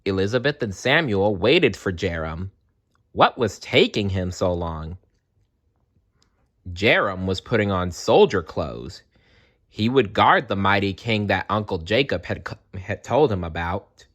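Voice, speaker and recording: This is a children's read-aloud narrator with a low-pitched voice. The delivery is slow and very animated, with dramatic pauses and playful asides to the listeners. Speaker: storyteller reading aloud.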